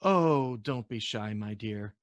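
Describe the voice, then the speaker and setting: A man voicing a courteous, bookish antique dealer in a storybook, with a formal, measured and eloquent manner. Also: lowish voice, lively intonation, no echo, dull audio. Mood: gracious, reflective.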